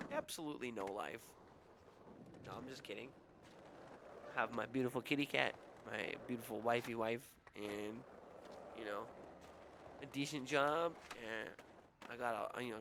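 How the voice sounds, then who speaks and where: A man's voice: mid-range voice, background noise. A young adult man with a nasal, whiny voice, rambling casually and making crude jokes while streaming a game.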